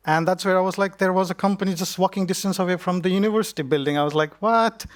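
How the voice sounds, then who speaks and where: Young man, from Nepal, conference guest, laughs often, relaxed, slightly high pitch. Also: quick pace. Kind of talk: live stage conversation. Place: conference stage.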